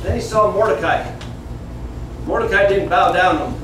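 High-pitched man storytelling in an echoey room. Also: normal speed, a bit bright. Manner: theatrical, acts out dialogue, plain simple wording. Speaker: children's church teacher. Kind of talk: animated Bible storytelling to children. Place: small classroom indoors. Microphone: camera several metres away. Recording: roomy echo, distant camera mic, room noise.

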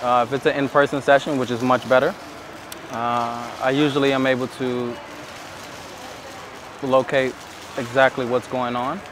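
Relaxed man chatting in a noisy square. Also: medium pitch, moderate pace, pauses often. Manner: relaxed, probing questions, laughs easily. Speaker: dating coach. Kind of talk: casual chat. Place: outdoors in a busy city square. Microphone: far hidden camera. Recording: street noise, distant camera.

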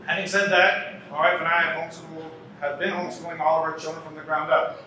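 A man's voice: on the high side, flat delivery, quick pace, pushed and bright. Canadian man, conference speaker, emphatic repetition, voice picked up from afar, faint room hiss. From Toronto, Canada. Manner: earnest, repeats key lines for emphasis. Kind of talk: lecture at a podium. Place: large banquet hall, indoors. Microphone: podium mic from a distance. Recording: echoey hall, mic some distance off.

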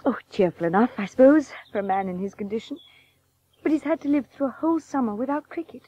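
An actress playing an older, devoted and candid wife with dry concern in a radio drama. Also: female voice, a little high, expressive, quick pace, mellow, husky, no echo, highs cut off. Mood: weary concern.

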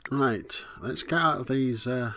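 A man with a hoarse, coughing voice, casually muttering his way through a video game as he plays. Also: medium pitch, a little slow, pushed and bright. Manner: muttering to himself, easygoing, frequent "right". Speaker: let's play host. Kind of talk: casual gameplay commentary.

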